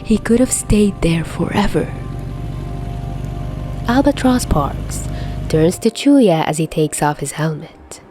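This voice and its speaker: A woman reading a story aloud as an expressive fiction narrator. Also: lowish voice, slow pace, long pauses, music in the background.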